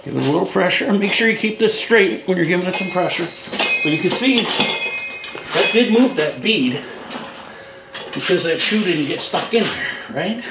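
A man's voice: high voice, lively intonation, normal speed, gravelly. A man in his forties hosting a casual how-to demonstration in his garage, chatty and joking while he works. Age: 40s. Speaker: homestead channel host. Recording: echoey garage, distant mic, noisy.

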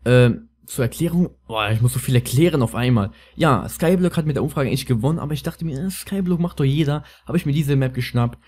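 A man's voice: mid-range voice, quick pace, hardly any pauses, a little mellow. A young man with a lisp giving casual, rambling, slangy gameplay commentary as a let's player. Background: music.

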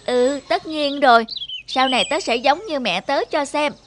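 A woman's voice: high-pitched, moderate pace, a bit bright, a little hiss or hum. A woman voicing a proud, boastful little schoolgirl in a cartoon, childlike and eager. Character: proud, boastful little schoolgirl idolizing her famous mother. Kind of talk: animated moral-tale dubbing. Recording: music behind the voice.

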